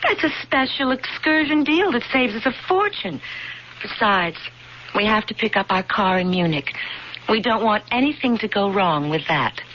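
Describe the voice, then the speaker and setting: An actress playing an excitable, affectionate young honeymoon bride in a gushing, theatrical old radio drama style. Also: female voice, mid-range voice, normal speed, natural voice. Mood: peeved. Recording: muffled old radio broadcast with music and vehicle noise.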